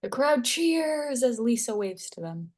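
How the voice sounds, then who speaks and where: A woman's voice: a little high, big pitch swings, measured pace, darkish tone. A woman reading stage directions and scene headings as narrator at a screenplay table read.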